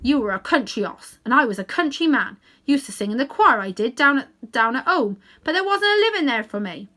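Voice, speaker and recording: A woman's voice: sits high, very animated, brisk, sharp tone, voice pushed up, full character voice. A woman voicing a plain-spoken working-class cabbie man in a children's storybook, chatty and emphatic. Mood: rueful. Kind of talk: children's storybook character reading.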